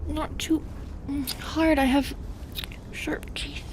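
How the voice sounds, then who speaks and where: A woman playing an anguished, remorseful female medusa in an intimate audio roleplay, tender and fragile, with a halting, emotional, pleading delivery. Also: sits high, little pitch movement, whispered, low-quality recording. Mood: hushed, remorseful.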